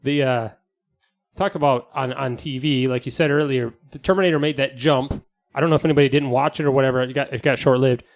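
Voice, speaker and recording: A man's voice: medium pitch, fast. A man hosting a podcast in casual, joking group chat, rambling and correcting himself as he goes. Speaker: podcast host.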